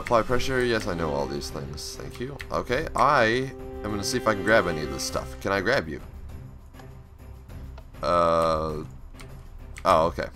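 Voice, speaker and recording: Casting: lowish, lively, slightly raspy male host narrating a game playthrough over faint game music. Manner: chatty, reads on-screen text aloud, upbeat. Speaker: gaming channel host. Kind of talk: casual let's play commentary.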